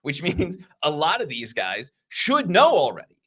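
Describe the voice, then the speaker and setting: A man co-hosting a casual livestream podcast, energetic and enthusiastic, talking to the live chat through a desk mic on a video call. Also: a little high, a little slow, breathy edge. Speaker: podcast co-host.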